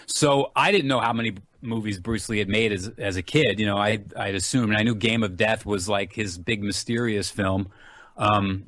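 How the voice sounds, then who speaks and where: A man's voice: on the low side, moderate pace, a bit bright, a little hiss or hum. A middle-aged American man giving a casual, informal interview as a guest, rambling a little. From San Francisco Bay Area, United States. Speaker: interview guest, actor and filmmaker.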